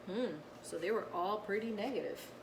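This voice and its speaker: A woman's voice: medium pitch, moderate pace. Woman reading a children's story, noisy recording. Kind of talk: read-aloud children's story narration with asides to listeners.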